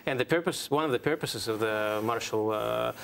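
A man speaking as an analyst on a studio political panel, in a halting way with many pauses. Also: medium pitch, vocal fry, slight background noise.

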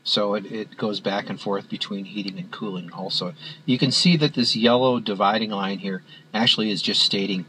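A man walking through a spreadsheet on screen as an instructor, talking in a halting way with many fillers and restarts. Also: lowish voice, bright, forceful tone, slight background noise, low-quality recording. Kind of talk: screen-recorded software walkthrough.

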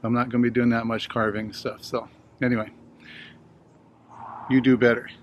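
A man's voice: mid-range voice, flat delivery, normal speed, highs cut off, a little hiss or hum. An older man, around his sixties, chatting casually to camera in a folksy, rambling, easygoing way as he hosts a hobby video.

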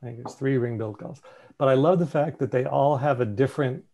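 Guy with a gravelly voice running a zoom slideshow, relaxed, lots of um and you know. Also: mid-range voice, quick pace, a little hiss or hum. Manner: relaxed, thinking aloud with fillers and restarts. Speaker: meeting presenter. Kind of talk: casual slideshow presentation on a video call. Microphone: video call.

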